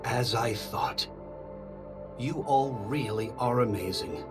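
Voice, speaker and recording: An actor voicing a pragmatic, skeptical male soldier in an English anime game dub, measured and matter-of-fact. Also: medium pitch, natural voice. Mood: admiring. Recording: music behind the voice.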